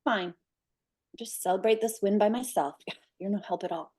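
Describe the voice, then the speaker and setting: A woman's voice: mid-range voice, moderate pace, darkish tone, slightly rough, close to an everyday voice. A woman playing a bossy, needy young pageant champion at a screenplay table read. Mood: impatient.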